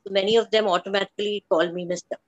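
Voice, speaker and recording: A woman's voice: medium pitch, fairly even intonation, very fast, dry recording. A woman speaks as a podcast guest in a casual, informal way, repeating her points for emphasis. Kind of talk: casual chat. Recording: slightly dull, lo-fi audio.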